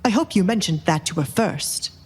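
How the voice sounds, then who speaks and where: Woman playing a low, fussy old butler. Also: expressive, moderate pace, darkish tone, slightly rough. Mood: scolding. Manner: deferential yet scolding. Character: fussy, loyal old male butler, easily scandalized. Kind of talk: dramatized audio romance story.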